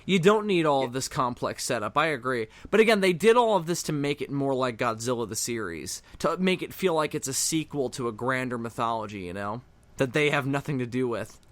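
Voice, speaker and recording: A man's voice: medium pitch, expressive, brisk, fairly bright tone, dry recording. A man hosting a casual fan commentary on a cartoon, explaining things in a matter-of-fact way. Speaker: commentary host.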